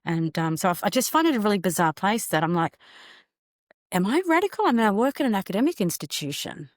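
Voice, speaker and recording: A woman's voice: medium pitch, sing-song, fast, dry recording. A woman professor speaking as an interview guest, thoughtful and hesitant, with frequent ums. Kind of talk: reflective conversation.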